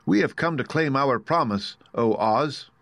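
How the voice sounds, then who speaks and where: A man's voice: medium pitch, a little slow, vocal fry, a bit bright, dry recording, pitched above the performer's own voice. A man voicing an earnest, plucky young girl in a storybook, exclaiming and questioning.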